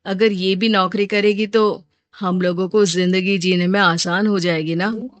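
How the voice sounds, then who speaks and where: A woman voicing a gentle, earnest, devoted young man in a storybook tale. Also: mid-range voice, steady tone, unhurried, no room sound, dull audio, close to an everyday voice. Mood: persuasive. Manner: soft-hearted, earnest.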